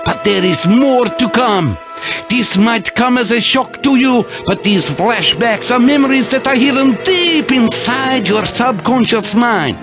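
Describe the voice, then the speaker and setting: Video game scientist: older, agitated, emphatic, very high-pitched male voice over clear music. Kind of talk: video game cutscene acting.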